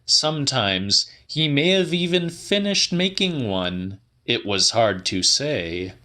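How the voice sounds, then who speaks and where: A man narrating a literary audiobook in a dramatic, theatrical, deliberate manner. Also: medium pitch, very animated, bright, forceful tone.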